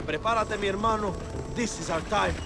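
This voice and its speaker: An actor playing a grandiose, theatrical Mexican rebel leader with a Mexican accent, in a video game cutscene. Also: male voice, high-pitched, a little fast, vocal fry, very noisy. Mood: solemn, commanding. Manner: pompous, theatrical. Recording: loud music behind the voice.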